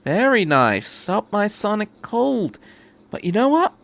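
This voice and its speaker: A man acts out a quirky adventurer who travels through time. His voice often leaps up high and moves in animated swoops. He is cheerful and endlessly curious, rambling briskly as a character in a story reading. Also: vocal fry, heavily acted. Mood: taunting. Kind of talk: audiobook-style story reading.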